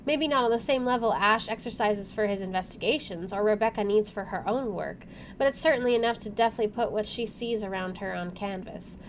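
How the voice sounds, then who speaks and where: Female game player, reading visual novel prose aloud, casual asides, medium pitch, slight background ambience. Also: a little fast, slight background noise. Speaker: game player, channel host.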